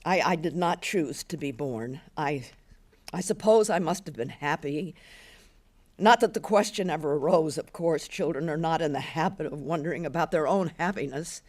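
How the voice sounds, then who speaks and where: A woman reading a storybook part as a reluctant old woman haunted by memory, halting, hesitant and defensive. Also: sits low, a little mellow, pitched below the performer's own voice.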